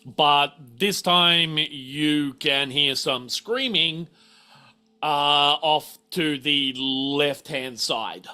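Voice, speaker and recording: A man's voice: fairly high pitch, extremely slow, bright, forceful tone, smooth, soft background music. A man running a tabletop game as game master, explaining and thinking aloud as he improvises.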